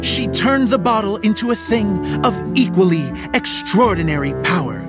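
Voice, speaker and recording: A gentle, theatrical storyteller for a game's storybook play. The delivery is earnest and expressive, with a very animated pitch over clear background music. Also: male voice, moderate pace. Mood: storytelling. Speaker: narrator of a play. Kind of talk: scripted fairy-tale narration in game.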